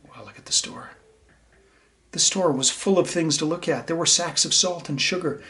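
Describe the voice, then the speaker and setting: A man's voice: on the high side, moderate pace, pushed and bright, slight background noise. A man reading a children's story aloud and talking to young listeners in a simple, explanatory way. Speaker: teacher reading a picture book aloud to children.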